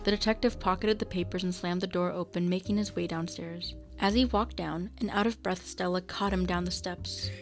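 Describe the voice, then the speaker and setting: A woman's voice: lowish voice, steady tone, normal speed, hardly any pauses, faint music behind, natural voice. A woman narrating a scripted radio mystery drama in a storytelling manner.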